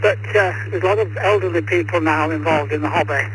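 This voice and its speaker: A man's voice: on the high side, fast talker. A man chatting casually as an amateur radio operator, speaking outdoors while out walking. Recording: noisy radio link, hum, street sounds.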